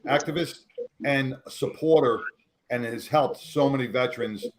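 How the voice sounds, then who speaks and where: A man's voice: mid-range voice, moderate pace, low-quality recording. A man co-hosting casual panel talk who speaks emphatically. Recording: crowd noise behind.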